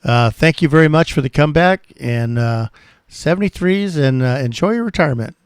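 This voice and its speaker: A man's voice: on the high side, lively intonation, fairly quick, smooth, no echo, studio quality. A man in his sixties with an American accent, chatting casually as an amateur radio operator. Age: 60s. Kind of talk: casual ham radio chat.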